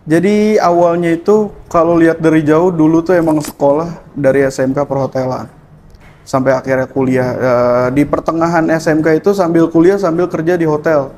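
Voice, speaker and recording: A man explains how his cafe works, his voice pitched a little high. He talks in an easygoing way, dotting his answers with hesitant fillers, over faint background noise. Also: steady tone, moderate pace, darkish tone. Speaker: chef and barista at a cafe. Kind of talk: casual interview answers.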